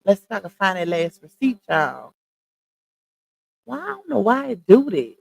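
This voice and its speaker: A woman hosting a casual livestream, chatting with viewers, greeting them by name and calling them y'all, her voice starting to go hoarse. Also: mid-range voice, very animated, moderate pace, no room sound. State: voice going hoarse. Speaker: livestream host.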